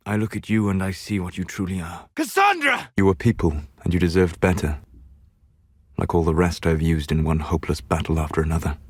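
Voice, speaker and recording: An actor playing a solemn, learned elven mage in a video game, speaking in measured, formal, eloquent phrases with a grave, regretful manner. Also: male voice, low voice, breathy edge, dry recording, clean studio sound, full character voice. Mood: sorrowful, then urgent alarm. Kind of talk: video game character dialogue.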